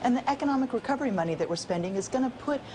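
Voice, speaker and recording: A woman in her thirties makes an economic case fluently and with an upbeat push over a remote link. Her pitch sits mid-range. The feed carries a noticeable noise bed. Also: animated, very fast. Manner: fluent, upbeat policy explaining. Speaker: think-tank economist guest. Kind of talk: live TV interview. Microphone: remote studio camera.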